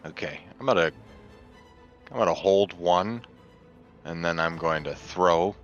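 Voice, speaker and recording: A man playing a tabletop roleplaying game, talking casually and deliberately as he thinks aloud. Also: sits low, dull audio, soft background music.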